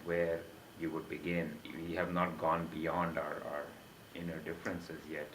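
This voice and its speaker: A young man, a graduate student, answering thoughtfully in a slow, considered way, recorded in a roomy indoor space. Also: a bass voice, little pitch movement, noisy background. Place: indoor room. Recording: roomy echo.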